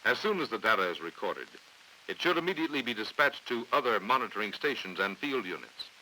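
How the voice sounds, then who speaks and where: An American man reading scripted training film narration in a formal, authoritative, measured way on a vintage 1950s soundtrack. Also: on the low side, sing-song, pushed and bright, slight background noise, poor audio. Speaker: documentary narrator.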